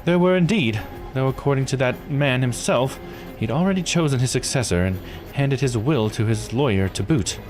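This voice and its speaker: A man's voice: medium pitch, animated, moderate pace, hardly any pauses, music in the background, lightly acted. A man voicing a composed, formal investigator in a visual novel, speaking politely and precisely. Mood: measured.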